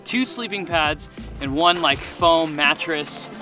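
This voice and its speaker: A man's voice: fairly high pitch, takes it slowly, over music, poor audio. Chatty young man filming himself. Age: young adult. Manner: chatty and easygoing, laughs between remarks. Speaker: camping vlogger. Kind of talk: casual vlog narration. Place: inside a truck, then outdoors in a snowy mountain campsite. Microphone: handheld camera at arm's length.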